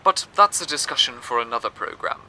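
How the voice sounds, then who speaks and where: A man's voice: medium pitch, big pitch swings, brisk, sharp tone, a little hiss or hum, full character voice, low-quality recording. A man playing a cheerful, theatrical presenter on a fictional old-time radio show, talking to his listeners. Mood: reflective. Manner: theatrical, self-congratulatory. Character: cheerful, grandiose male radio presenter in a rusting city. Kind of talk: 1940s radio presenter acting.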